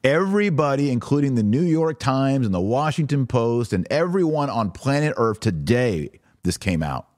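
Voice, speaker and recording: A man's voice: medium pitch, darkish tone, no echo, clean studio sound. A man hosting a podcast of religious commentary, speaking emphatically with rhetorical questions and acted-out imagined objections. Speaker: podcast host. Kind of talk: religious commentary to a live online audience.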